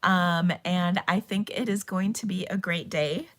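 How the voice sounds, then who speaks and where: A woman hosting a casual live craft stream, chatty and bubbly, her voice a little hoarse because she is losing it. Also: on the low side, steady tone, measured pace. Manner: chatty and bubbly, with frequent ums and laughs. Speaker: host of a live stamping and cardmaking stream.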